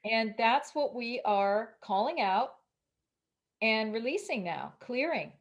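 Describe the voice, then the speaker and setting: A woman's voice: fairly high pitch, expressive, takes it slowly. A woman speaking as an interviewed author in a casual spiritual conversation, with an emphatic, declarative way of talking.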